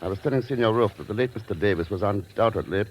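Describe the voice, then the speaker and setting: An actor playing a cool, shrewd secret agent in an old radio drama, calm, dry and understated. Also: male voice, lowish voice, quick pace, breathy edge, lo-fi sound. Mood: assured. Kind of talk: 1940s radio drama acting. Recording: noisy old radio recording.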